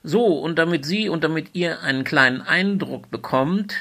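A man's voice: fairly high pitch, moderate pace, gravelly, pushed and bright. A man hosting a podcast in an informal moderating style. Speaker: podcast host.

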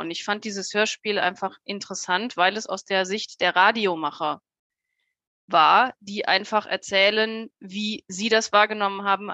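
Bright-voiced young woman, close dry sound. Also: mid-range voice, no room sound. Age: young adult. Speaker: radio-trained journalist, jury member as podcast guest. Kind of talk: conversational interview, opinion and anecdote.

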